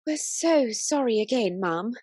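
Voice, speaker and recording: A young gossiping housemaid in a radio mystery. Her voice is fairly high and husky, swinging widely in pitch as she confides, polite yet a touch bossy. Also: expressive, unhurried, warm tone, no room sound. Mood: gossipy, confiding. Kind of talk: radio play acting.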